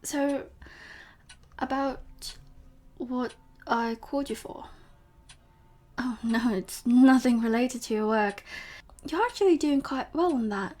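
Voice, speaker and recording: Woman acting a slow, coaxing vampire professor. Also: high-pitched, a little mellow. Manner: coaxing, murmuring, often dropping to a breathy whisper. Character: possessive, teasing female vampire professor, sweetly sinister. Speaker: audio roleplay voice actor. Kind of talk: intimate scripted audio roleplay, addressed to the listener.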